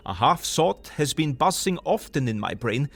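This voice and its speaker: An actor playing an older foreign professor in an audio drama, earnest and emphatic, with halting foreign syntax. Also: male voice, a little high, very animated, a bit bright.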